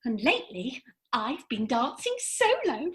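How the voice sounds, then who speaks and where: A woman's voice: high-pitched, very animated, a little slow, a bit bright, heavily acted. A woman playing a haughty, easily annoyed young good fairy in a comic Victorian play, with a prim, dismissive delivery.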